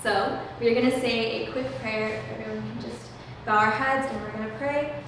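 A woman's voice: on the high side, fairly even intonation, normal speed. Earnest young woman host in an echoey room. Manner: earnest, many "um" fillers. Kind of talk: casual discussion, leading a prayer. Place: church room, small group at a table. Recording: echoey room, heard from a distance, background noise.

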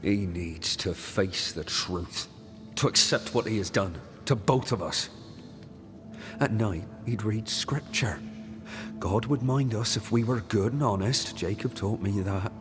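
A solemn ghost of a devout settler in a video game drama. The voice is very low and unhurried, grave and resigned, with music behind it. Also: male voice. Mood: grave, pious.

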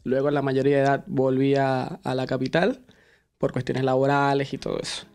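A man's voice: mid-range voice, little pitch movement, fairly quick, darkish tone. A young man from Venezuela with a Venezuelan accent, a dancer guest in a studio interview, friendly and upbeat. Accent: Venezuelan Spanish. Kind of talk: casual interview. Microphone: handheld microphone.

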